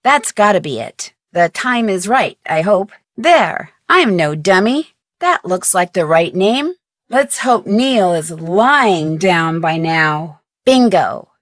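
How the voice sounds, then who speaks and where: A woman voicing a plucky, curious young detective in a video game, with an American accent and perky, clearly enunciated, expressive delivery. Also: fairly low pitch, slow, no room sound, clean studio sound. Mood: thoughtful, determined. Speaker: game character and tutorial guide.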